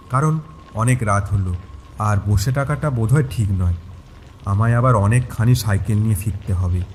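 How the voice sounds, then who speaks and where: Male narrator for a horror audio story: fairly deep voice, first-person telling, rain sounds behind. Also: a little mellow, slight background noise.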